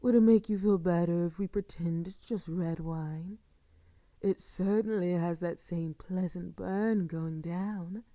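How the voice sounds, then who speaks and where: A woman playing a sadistic, seductive vampire in an intimate audio roleplay, speaking softly and formally with a silky, teasing menace that often sinks toward a whisper. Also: lowish voice, steady tone, a little slow, no room sound, studio quality, lightly acted. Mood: menacing, taunting. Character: sadistic, seductive female vampire, courtly and predatory. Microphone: close microphone, dry.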